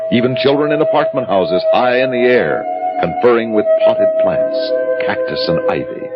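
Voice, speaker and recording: A man playing a pensive, unhurried storyteller, narrating an old radio drama in a measured, dramatic way. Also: on the low side, full character voice. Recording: dull lo-fi old radio recording, music behind.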